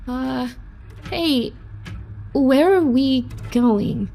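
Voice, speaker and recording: A woman's voice: high-pitched, extremely slow, gravelly, dark tone, faint music behind, heavily acted. A woman playing a frightened but defiant young female captive in an audio drama. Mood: hesitant, uneasy.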